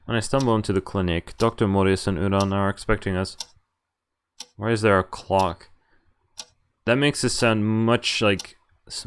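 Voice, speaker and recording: A man's voice: fairly low pitch, slight background noise. A man, a native Dutch speaker, streaming a visual novel, reading it aloud and commenting casually as he goes, sometimes stumbling over words. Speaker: game streamer.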